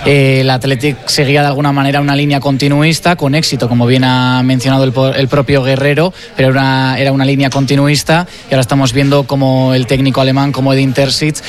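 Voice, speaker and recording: A man giving measured football analysis as a radio sports reporter. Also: medium pitch, level tone.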